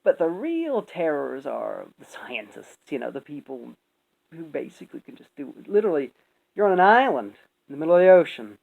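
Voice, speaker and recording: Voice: mid-range voice, very animated, normal speed, vocal fry, darkish tone, rough recording. A speaker giving casual, opinionated commentary in a rambling, emphatic, sweary way. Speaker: video commentator.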